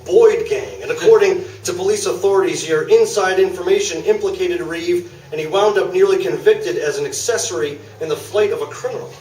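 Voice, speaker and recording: A man acts out a sardonic sports radio host in a staged radio play. His voice sits a little high, and he needles his guest in a halting, dry way. The recording is echoey, taken from some distance, with some noise. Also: darkish tone, lightly acted. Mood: dryly accusing. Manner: halting delivery, dryly accusatory. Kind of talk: staged radio play interview before an audience. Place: small theatre, live staged performance.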